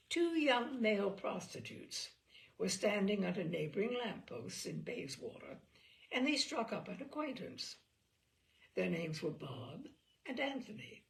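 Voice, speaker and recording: Wry woman reading stories, gravelly lilt. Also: mid-range voice. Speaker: reader of stories. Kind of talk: storytelling reading.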